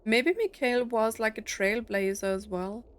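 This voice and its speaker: A woman's voice: a little high, a little slow. A woman giving casual let's play commentary, rambling as she thinks aloud about a story game. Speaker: streamer playing a story game.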